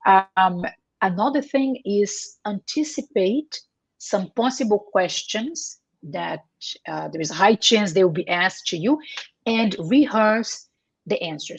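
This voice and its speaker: Husky-voiced woman, a native Portuguese speaker. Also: lowish voice, no echo. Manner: earnest, emphatic, with tag questions and self-corrections. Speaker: career coach. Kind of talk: interview guest giving advice.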